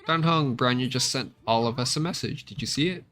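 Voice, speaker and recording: A man's voice: mid-range voice, brisk, a bit bright, natural voice. A man voicing a perky, pushy young female adventurer from a space video game, eager and emphatic. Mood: insistent.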